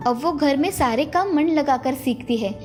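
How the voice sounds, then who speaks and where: A woman's voice: high voice, moderate pace, darkish tone, soft background music. A woman narrating a scripted children's moral story.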